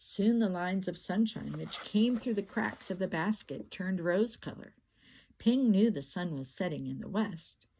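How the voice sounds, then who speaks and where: An older woman reading a children's picture book aloud like a grandmother, with expressive, drawn-out words and emphatic repetition. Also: on the low side, creaky, slight background noise.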